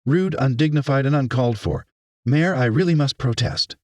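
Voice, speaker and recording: A man's voice: medium pitch, normal speed, no echo, clean studio sound, voice pushed up, natural voice. Male narrator as prim schoolmistress, woman, huffy, indignant, formal, audiobook character.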